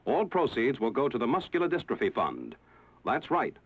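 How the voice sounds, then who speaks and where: A man narrating a 1960s newsreel in a measured, formal announcer style. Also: mid-range voice, huge pitch swings, breathy edge. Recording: tinny lo-fi old film soundtrack with music.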